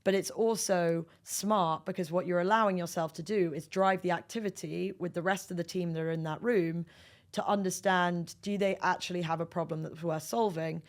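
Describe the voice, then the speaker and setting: A woman with a fairly low voice and plenty of vocal fry speaks as an executive guest on an interview podcast. She explains her points emphatically, in a conversational, businesslike way. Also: steady tone, moderate pace.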